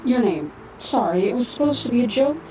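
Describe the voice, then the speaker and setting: A woman's voice: a little high, expressive, brisk, dark tone, far from the mic, a little hiss or hum, low-quality recording. A woman playing a friendly, helpful robot medic in an audio drama, with an easygoing conversational manner. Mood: friendly, teasing. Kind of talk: fan audio drama acting.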